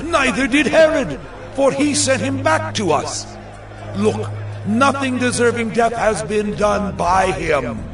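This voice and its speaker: An actor playing an exasperated, commanding Roman governor, declaiming to a crowd in a scripture drama. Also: male voice, very high-pitched, animated, slow, recorded from a distance, over music, exaggerated voice. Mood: commanding, formal. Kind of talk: dramatized scripture audio acting.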